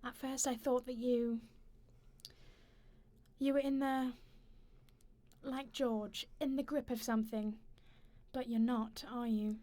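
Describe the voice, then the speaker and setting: An actress as a wronged older wife in a radio drama. Her voice sits a little high. She speaks with measured dignity, probing and accusing, with bitterness underneath. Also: flat delivery, mellow. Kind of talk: radio play dialogue.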